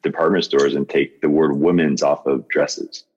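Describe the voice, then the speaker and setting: A man's voice: rumbling low, steady tone, normal speed, darkish tone, husky, dull audio. A man in his fifties, a podcast guest talking earnestly in casual conversation, laughing often and restarting his sentences. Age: 50s.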